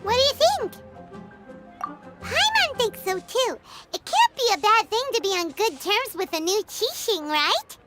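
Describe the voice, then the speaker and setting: A woman voicing a tiny childlike fairy companion in a video game, squeaky, chirpy, curious and excitable, with music behind. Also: a little slow, full character voice. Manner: excitable, refers to self in third person.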